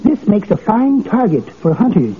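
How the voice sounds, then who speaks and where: An actor playing a meek, henpecked little husband in a mild, formal, deadpan way in old-time radio comedy. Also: male voice, high-pitched, moderate pace. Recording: tinny vintage radio, noisy, audience behind.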